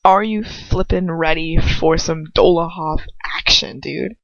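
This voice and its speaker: A woman's voice: deep voice, unhurried, no echo. Young woman reading fiction, slightly muffled recording. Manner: goofy, giggly, slangy. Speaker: amateur fan fiction reader. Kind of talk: story reading with casual, joking asides. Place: inside a house.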